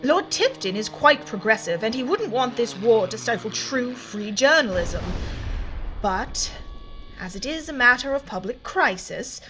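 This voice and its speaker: Woman playing a high-voiced, poised aristocratic lady journalist. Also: big pitch swings, a little slow, fairly bright tone, soft background music. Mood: earnest, firm. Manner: assured, formal, teasing. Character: poised, bold, wry aristocratic lady journalist in wartime London. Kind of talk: period audio drama dialogue.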